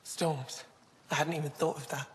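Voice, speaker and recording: Woman playing low-voiced young alien curator, reverberant. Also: steady tone, normal speed, lightly acted. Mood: thoughtful. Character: young alien female museum curator, earnest, scholarly, wistful. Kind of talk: video game dialogue. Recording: long hall reverb.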